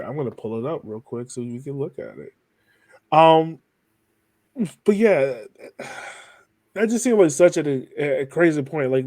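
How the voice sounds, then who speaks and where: A man's voice: medium pitch, darkish tone. A man hosting a podcast in a casual conversational style, musing informally and building rhetorical questions. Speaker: podcast host.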